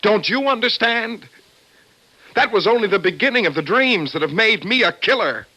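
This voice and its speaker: A man's voice: high voice, expressive, normal speed, bright, forceful tone, husky, heavily acted. An actor playing an anxious, earnest office man haunted by his dreams, in old-time radio drama style. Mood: desperate, frantic. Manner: earnest, brooding. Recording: noisy vintage radio recording.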